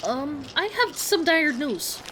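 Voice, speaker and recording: A woman's voice: sits high, very animated, takes it slowly, pushed and bright, slight background noise, full character voice. A woman voicing a small, earnest young gnome adventurer with a questioning manner, in a fantasy audio story. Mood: earnest, puzzled.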